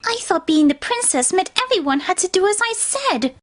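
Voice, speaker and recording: A woman's voice: very high voice, sing-song, moderate pace. A woman voicing a haughty yet insecure young female pony in a cartoon fan fiction, whiny and theatrical. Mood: indignant.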